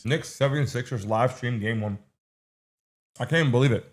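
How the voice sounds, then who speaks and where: A man's voice: mid-range voice, a little fast. A man hosting a live stream, insistently repeating instructions to his viewers. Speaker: livestream show host.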